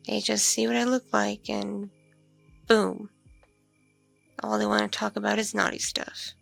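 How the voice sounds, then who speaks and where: A woman acting as a lonely vampire mother with a heavily creaky, breathy voice. She muses hesitantly and intimately, as in an ASMR roleplay, taking her time over each phrase. Faint music sits behind her. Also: medium pitch, exaggerated voice. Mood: wistful, exasperated. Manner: slow, hesitant musing with soft hums. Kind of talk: ASMR audio roleplay, intimate one-sided conversation.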